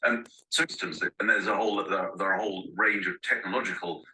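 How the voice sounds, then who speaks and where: A male interviewer with a very low voice and a bright, sharp edge, hesitating and restarting his questions. He sounds set back from the mic in a roomy space. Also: fairly even intonation, fast, far from the mic. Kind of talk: panel interview.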